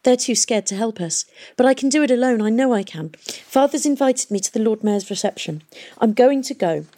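A woman's voice: on the high side, a little mellow, voice pushed up. A woman voicing a distraught, resolute young woman in an audiobook story, pleading and emphatic. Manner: pleading, emphatic, rushing into plans.

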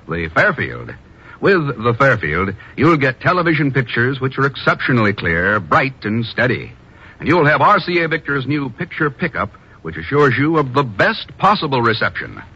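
A man's voice: medium pitch, pushed and bright, slightly rough. A man working as a 1950s radio announcer, reading scripted copy and adverts in a polished, formal salesman's manner. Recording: dull old radio broadcast, music behind.

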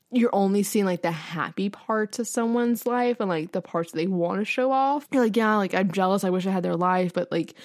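Quick, bubbly woman podcast co-host. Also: lowish voice, darkish tone, dry recording, clean studio sound. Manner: fast, bubbly, filler-heavy talk with lots of laughing. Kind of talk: casual chat.

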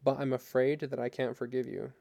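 A man's voice: mid-range voice, little pitch movement, fairly quick, creaky, mellow, muffled recording. A man voicing a wounded, bitter man in a story, speaking with steely, anguished insistence to the woman he loves. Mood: quiet, reluctant. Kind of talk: dramatic audiobook character reading.

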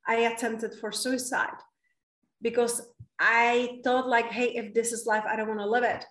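A woman's voice: on the high side, level tone, normal speed, a bit bright, reverberant, recorded from a distance. A woman in her thirties with a Czech accent, a podcast guest telling her life story candidly and earnestly, often adding "like" and "right?". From Czech Republic.

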